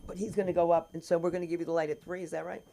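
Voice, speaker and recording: A woman's voice: on the low side, level tone, very fast, gravelly, dark tone. Chatty teasing woman hosting an open mic. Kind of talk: casual banter hosting. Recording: noisy live open mic room.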